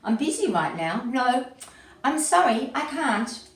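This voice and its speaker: Woman as timid storybook koala, male, raised pitch, hesitant, anxious, stubborn, apologetic, roomy sound. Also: big pitch swings, measured pace, full character voice. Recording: roomy, echoey, distant mic with faint noise.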